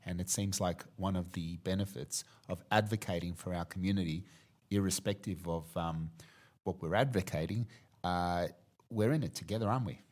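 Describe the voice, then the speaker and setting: A man's voice: low voice, normal speed, a little mellow. A man hosting a podcast, asking questions in a friendly, affirming way with hesitant restarts. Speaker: podcast host. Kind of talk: interview questions.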